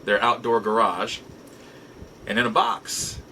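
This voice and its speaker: A middle-aged man hosting a show, telling nostalgic stories casually in a halting, reflective way with emphatic repeats. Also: on the low side, level tone, pushed and bright, slight background noise, low-quality recording. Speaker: show host. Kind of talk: casual nostalgic storytelling to viewers.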